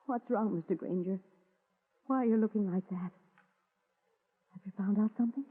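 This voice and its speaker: A woman's voice: mid-range voice, normal speed, breathy edge, lightly acted. Figure: woman voicing an anxious, stammering widow. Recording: phone-thin old broadcast. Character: frightened nervous widow. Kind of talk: 1940s radio acting. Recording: tinny old radio broadcast.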